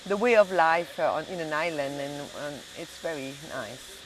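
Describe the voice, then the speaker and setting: A woman's voice: deep voice, moderate pace, background noise. A middle-aged woman, a native French speaker from Belgium and southern France with a French accent, chatting casually in an interview and often searching for words. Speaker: interview guest, hotel and restaurant owner.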